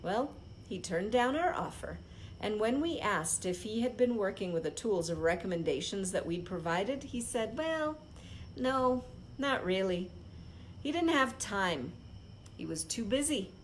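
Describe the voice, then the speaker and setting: Female read-along host, mid-pitched, unrehearsed book reading in deliberate short phrases, slightly noisy recording. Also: expressive. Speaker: host reading a book aloud on a live stream. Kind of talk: impromptu read-aloud of a self-help book.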